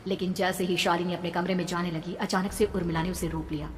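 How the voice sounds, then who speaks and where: A woman's voice: on the low side, flat delivery, quick pace. A woman telling a horror story as dramatic scripted narration. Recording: long reverb, background noise.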